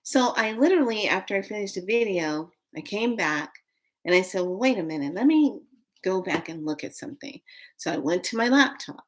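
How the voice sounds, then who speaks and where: A woman's voice: mid-range voice, moderate pace. Fifty-something lady rambling to her stream viewers, chatty and easygoing. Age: 50s. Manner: chatty, rambling, animated storytelling. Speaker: vlogger. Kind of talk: casual live stream chat.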